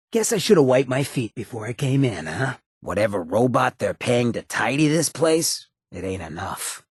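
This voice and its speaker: A man voicing a swaggering, roguish mayor in a video game, a wry hedonist outlaw with a raspy, gravelly, drawling and sardonic delivery. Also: medium pitch, no room sound, clean studio sound, exaggerated voice. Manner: drawling, sardonic, streetwise slang. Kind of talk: video game character dialogue.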